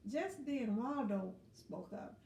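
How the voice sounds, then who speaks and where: Storybook reader: woman, high voice, slow and encouraging delivery, expressive, echoey room. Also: a little hiss or hum. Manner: encouraging, asks young listeners questions. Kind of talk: expressive read-aloud story narration.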